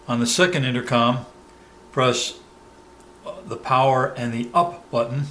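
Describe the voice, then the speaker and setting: A man presenting a hands-on product tutorial step by step, pausing mid-sentence as he demonstrates. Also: medium pitch, pushed and bright. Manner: halting, pauses mid-sentence while demonstrating. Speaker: product reviewer giving a demonstration. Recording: clear background noise, room ambience.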